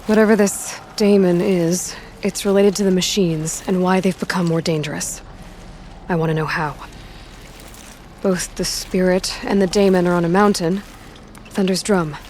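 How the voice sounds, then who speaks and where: A woman's voice: lowish voice, steady tone, normal speed, a little hiss or hum, close to an everyday voice. A woman playing a headstrong, skeptical young huntress in a video game, with a dry, determined delivery. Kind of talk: naturalistic video game acting. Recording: very loud music behind.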